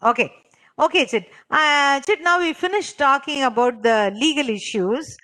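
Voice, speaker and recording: A woman's voice: high-pitched, normal speed, sharp tone. A woman leading a training lesson, explaining step by step, repeating herself and often checking that learners understand. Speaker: trainer leading a clinical interviewing course. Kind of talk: interactive online lesson, explaining and quizzing participants.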